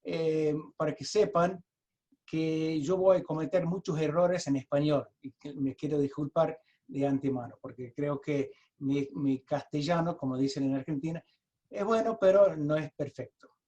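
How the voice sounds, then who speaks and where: An American-accented man, a native English speaker, presents over a video call in a fairly high but mellow voice. He explains step by step as a lawyer would. He is halting, often repeats words and restarts his sentences. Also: steady tone. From United States.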